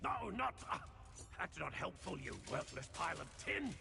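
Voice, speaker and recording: An actor playing a frantic, scornful, irascible character in a video game. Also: male voice, on the high side, very animated, moderate pace, creaky, over music, heavily acted. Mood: alarmed, scornful.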